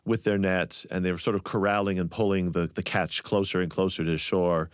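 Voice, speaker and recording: A man hosting a podcast, narrating scripted stories in a wry, expressive way. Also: sits low, moderate pace, clear, resonant tone, no room sound, studio quality. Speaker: podcast host.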